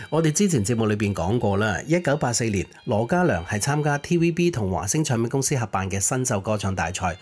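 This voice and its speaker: A man's voice: lowish voice, a little mellow, husky. A man speaking Cantonese hosts a radio music show, narrating pop history in an informative way.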